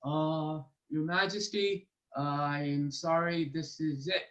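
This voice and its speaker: A man puts on an eager, bumbling storyteller from a storybook. He is nervous and fawning, with a high-pitched voice. His speech halts and stammers as he tries to please. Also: fairly even intonation, fairly bright tone, muffled recording. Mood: sheepish. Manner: halting, stammering, obsequious. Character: nervous, eager, bumbling male storyteller pleading before royalty.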